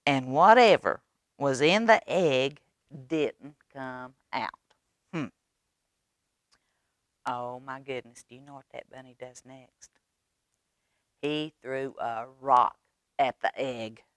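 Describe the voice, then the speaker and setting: A woman reading a children's story aloud expressively, with dramatic pauses and coaxing questions to young listeners. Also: very deep voice, no room sound. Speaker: library storytime reader.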